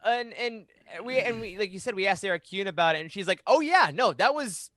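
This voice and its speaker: A man in his thirties with an American accent and a high-pitched voice. He gushes in casual, enthusiastic chat, with a dry, close podcast sound. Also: expressive, a little fast, a bit bright, dry recording. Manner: enthusiastic, gushing. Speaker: podcast panelist. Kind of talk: casual roundtable discussion. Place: home room. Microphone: close boom-arm podcast mic.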